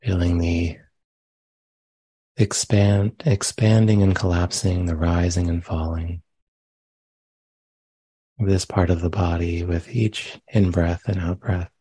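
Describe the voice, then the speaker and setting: A man leading a guided meditation as a teacher, speaking gently and unhurriedly with long pauses between phrases. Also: sits low, fairly even intonation, slightly rough. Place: meditation center.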